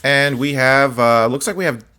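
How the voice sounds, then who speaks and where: A man with a slightly hoarse, scratchy voice, chatting casually as host while showing autographed sports cards. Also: medium pitch, normal speed. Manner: relaxed, chatty, many fillers and asides. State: slightly hoarse, voice partly lost. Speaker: host of a card-collecting channel.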